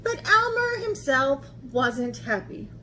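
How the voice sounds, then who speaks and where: Woman art teacher for kids' storytime, high voice, unhurried animated delivery, slightly noisy recording. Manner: animated, asks listeners questions. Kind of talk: children's picture-book read-aloud.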